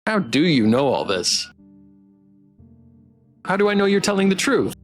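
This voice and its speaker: A woman's voice: lowish voice, very animated, unhurried, faint music behind. A woman streaming a game, reacting expressively in casual commentary and reading dialogue aloud. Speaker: streamer playing a game blind.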